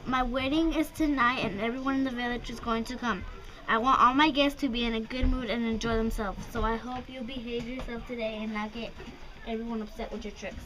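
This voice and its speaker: Bossy folktale bride played by a young girl, high voice, earnest puppet-show read. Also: fairly even intonation, brisk, sharp tone, low-quality recording. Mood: stern. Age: child, about ten. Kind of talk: reader's theater puppet show.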